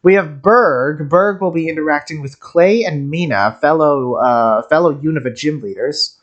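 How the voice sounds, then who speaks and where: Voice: medium pitch, moderate pace, highs cut off. Casual, rambling streamer commentating on a game.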